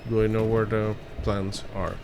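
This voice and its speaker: A man's voice: fairly low pitch, flat delivery, moderate pace, background noise. A drunk man, a native Spanish speaker with a Spanish accent, giving rambling, sweary, casual gameplay commentary as a streamer. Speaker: streamer, speedrunner.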